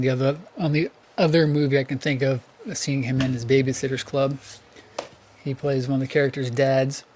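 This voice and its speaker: A hoarse man who is losing his voice, hosting a casual collector vlog, rambling and correcting himself as he thinks aloud. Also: medium pitch, a little mellow, slight background noise. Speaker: VHS collector channel host.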